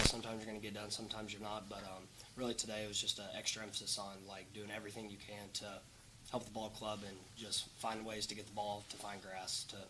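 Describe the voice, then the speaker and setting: A man's voice: lowish voice, noisy background. An American man, a baseball player, giving a casual post-game press conference answer.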